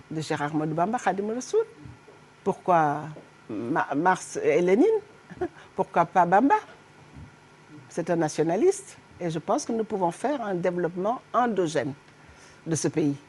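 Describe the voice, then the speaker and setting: Middle-aged woman from Senegal, TV studio guest, animated, low voice. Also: husky. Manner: animated, emphatic, with frequent hesitations. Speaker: guest politician, party honorary president. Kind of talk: political TV interview.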